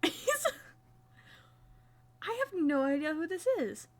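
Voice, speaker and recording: A young woman with a fairly high voice talks to her live-stream chat while crocheting. She is loose and self-mocking, and she swears casually as she goes. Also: very animated, slow.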